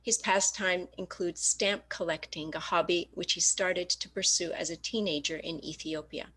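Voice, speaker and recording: A woman's voice: on the low side, fairly even intonation, normal speed, pushed and bright. Lady host on a video call, reading out a bio for the next poet. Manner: reading a prepared introduction. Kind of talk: introducing speakers.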